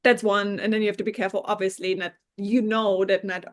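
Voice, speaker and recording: A woman's voice: medium pitch, level tone, fairly quick. A woman from Germany talks through research as a podcast guest. She has a middling voice and explains carefully, circling back and qualifying her points as she goes. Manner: thoughtful and explanatory, with hedges and restarts. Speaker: scientist guest.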